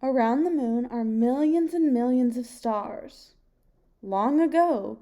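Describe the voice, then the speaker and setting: A young adult woman reading a picture book aloud like a teacher, pausing and putting questions to her young listeners. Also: fairly high pitch, warm tone. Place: home kitchen, indoors.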